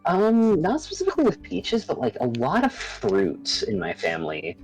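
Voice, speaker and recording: A woman's voice: sits low, huge pitch swings, normal speed, darkish tone, rough recording. A woman chatting casually as a guest on a game stream. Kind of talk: casual chat. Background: faint music.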